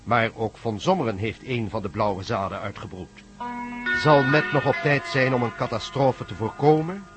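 A man's voice: medium pitch, moderate pace, close to an everyday voice. A man narrating a radio drama recap as announcer, in a dramatic scripted style. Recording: loud music under the voice.

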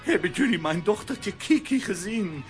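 Very high-voiced anxious clown father. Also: lively intonation, moderate pace, soft background music. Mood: worried. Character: excitable, anxious fairground clown, father of small girl. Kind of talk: animated children's radio play acting.

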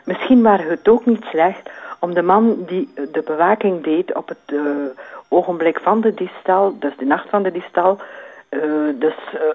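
Lady caller, bit low and husky, hesitant. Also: normal speed, dull audio. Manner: hesitant, searching for words. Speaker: listener phoning in a tip.

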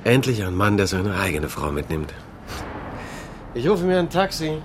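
A man's voice: lowish voice, huge pitch swings, normal speed, noisy background. A man playing a cool, courteous, polished Italian commissario in a radio crime drama. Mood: gallant. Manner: gallant, polished. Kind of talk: German radio play dialogue.

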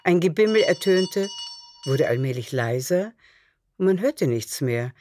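A woman's voice: low-pitched, lively intonation, unhurried, darkish tone, a little hiss or hum. A woman narrating a literary radio drama in a scripted, storytelling delivery. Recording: music behind the voice.

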